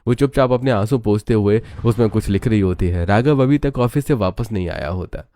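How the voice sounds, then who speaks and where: A man's voice: fairly low pitch, brisk, dark tone. A man giving scripted storybook narration for a family cartoon.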